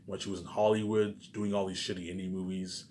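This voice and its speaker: A man with a fairly low voice talks very fast in a rambling, unscripted rant. He is agitated and profane, circling back over the same phrases and tripping on his words. There is faint noise behind him. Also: little pitch movement, darkish tone. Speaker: vlogger.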